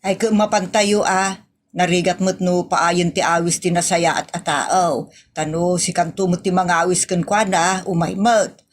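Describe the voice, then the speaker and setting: A woman's voice: on the low side, fairly even intonation, unhurried. A woman with an Ilocano accent playing an exasperated, scolding wife in a rural family audio comedy.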